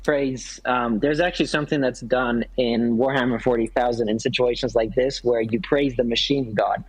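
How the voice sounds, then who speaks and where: A man chatting casually, explaining things haltingly and often repeating his phrases as he goes. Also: mid-range voice, steady tone, breathy edge, poor audio. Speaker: guest on a live work stream. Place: hotel room.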